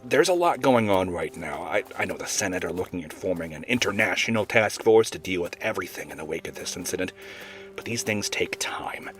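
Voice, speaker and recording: Deep-voiced actor as a serious senior field agent. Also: male voice, animated, a little fast, fairly bright tone, faint music behind. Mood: grave, explanatory. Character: weary male wildlife agent, gruff senior partner. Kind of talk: audio drama acting.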